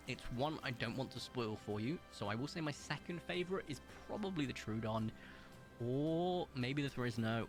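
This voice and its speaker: A man streaming a game, chatting casually in a calm, smooth voice as he thinks aloud and rambles with fillers. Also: mid-range voice. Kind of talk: casual gaming livestream chat.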